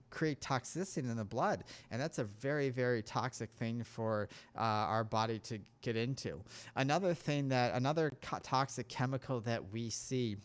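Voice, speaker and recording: A man talks at an ordinary middle pitch, in a casual talk-show monologue. He comes across earnest and a little preachy, rambling from point to point. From United States.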